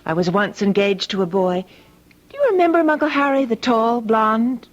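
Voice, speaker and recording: An actress playing a breezy, chatty, teasing young sweetheart in an old-time radio mystery. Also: female voice, a little high, lively intonation, moderate pace, close to an everyday voice. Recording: dull old radio recording.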